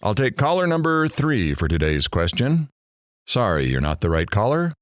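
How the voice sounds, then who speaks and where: An actor playing a chatty, showy radio talk show host in an audio drama. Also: male voice, on the low side, very animated, moderate pace, no echo, clean studio sound. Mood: upbeat, showy.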